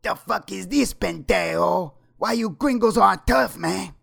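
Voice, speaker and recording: A man's voice: high voice, a little slow, creaky, fairly bright tone, pitched above the performer's own voice, exaggerated voice. A man voicing a menacing, hot-tempered male gang leader with a put-on Mexican Spanish accent, swearing and taunting. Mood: angry.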